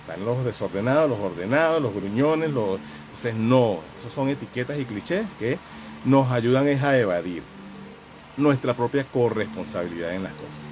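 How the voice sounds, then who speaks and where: A man speaking as a counselor and host in an informal live talk, explaining with examples in a halting, reflective, didactic way and often repeating phrases. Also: medium pitch, expressive, noisy background.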